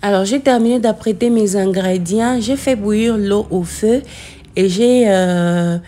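A woman in her thirties, a home vlogger, chatting slowly through a kitchen tour and cooking steps, often pausing with "euh" and using English words for food. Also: mid-range voice, mellow.

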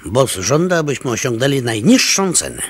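An actor playing a sly, calculating pearl merchant in a Polish radio drama. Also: male voice, medium pitch, sing-song, normal speed, breathy edge. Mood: conspiratorial, commanding.

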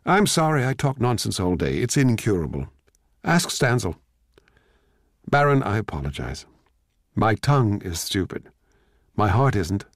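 A man playing an excitable, cocky, childlike young composer with a gushing, emphatic way of talking, in a dramatic play reading. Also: lowish voice, measured pace, pauses often, no room sound, studio quality. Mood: sheepish.

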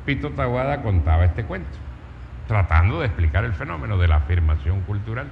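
A man's voice: lowish voice, sing-song, brisk, gravelly. A man with an accent from Colombia's Caribbean coast telling a story in a public talk, emphatic and rhetorical, piling up lists and questions. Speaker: speaker, writer. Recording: dull, reverberant hall with faint noise.

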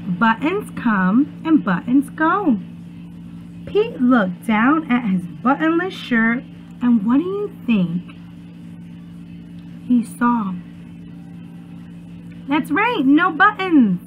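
A young woman with a high voice talks to preschoolers as their teacher, reading aloud and chatting. She takes her time and sounds expressive and encouraging. The sound is slightly tinny and muffled, as from a webcam at home. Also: a little hiss or hum. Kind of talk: children's read-aloud and chat. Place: small furnished room at home, indoors.

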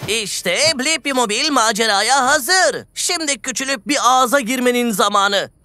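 A woman's voice: fairly high pitch, a little slow, over loud music, lightly acted. A woman playing a cheerful, curious young male explorer in a children's cartoon, enthusiastic and full of questions. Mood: excited. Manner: enthusiastic, exclamatory, inquisitive.

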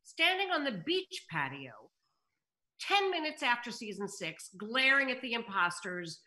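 Female announcer and narrator, higher bright voice, reading stage directions over video call. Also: sing-song, a little slow. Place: indoors at home on a video call. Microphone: video call microphone.

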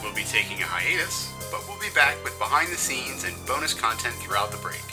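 A man's voice: medium pitch, sing-song, a little fast, close to an everyday voice, rough recording. A man reading scripted credits as a formal announcer. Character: male mission briefing voice, formal announcer. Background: music.

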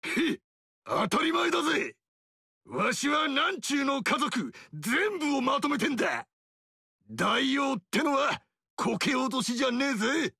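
A gravelly, blustering barbarian king. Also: very high-pitched, sing-song, a little slow, sharp tone, no echo, clean studio sound, exaggerated voice. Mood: boastful. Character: boisterous burly male barbarian king, proud. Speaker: warlord character. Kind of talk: video game character dialogue.

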